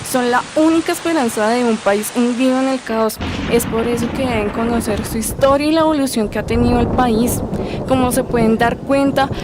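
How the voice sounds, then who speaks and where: Woman playing a high-voiced, preachy mother. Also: lightly acted. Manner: earnest, didactic. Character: earnest, preachy Colombian mother lecturing her children. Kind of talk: amateur radio drama acting. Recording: noisy amateur recording.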